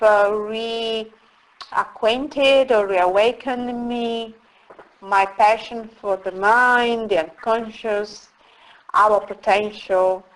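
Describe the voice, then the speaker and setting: A middle-aged woman speaks as an interview guest, her pitch sitting in a middle range. She talks at a very slow, unhurried pace. She pauses often as she reflects on her story. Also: low-quality recording. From Italy. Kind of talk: casual interview.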